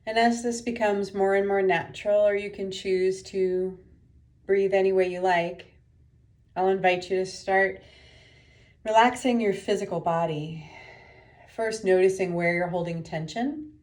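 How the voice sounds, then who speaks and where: A woman leading a guided meditation as a teacher, giving gentle, unhurried instructions with pauses. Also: medium pitch, fairly even intonation.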